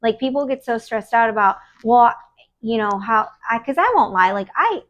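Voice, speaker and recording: A woman in her early thirties, a podcast guest, telling career stories in a lively, profane way and acting out what people said. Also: a little high, normal speed, muffled recording. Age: 30s. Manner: animated, profane, acts out quoted dialogue. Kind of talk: casual storytelling.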